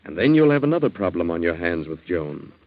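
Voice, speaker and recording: Middle-aged hanger-on, 1940s radio play. Deep, dry, wry, faintly cynical; narrow vintage broadcast sound. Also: male voice, very animated, brisk. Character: wry, sponging aging old friend, faintly cynical. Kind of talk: 1940s radio drama acting. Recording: muffled narrow-band 1940s radio recording.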